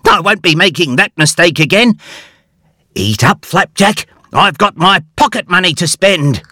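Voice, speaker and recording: A man voicing an excitable, cheerful young male character in a storybook, eager and full of exclamations. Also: high-pitched, measured pace, no echo, studio quality, pitched above the performer's own voice, full character voice. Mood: cheery.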